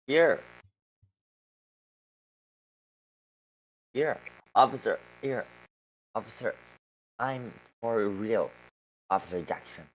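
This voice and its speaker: A man's voice: lowish voice, expressive, a little slow, low-quality recording. A man playing a dim-witted, blunt, skinny street drug dealer at a live script table read. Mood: groping, uncertain.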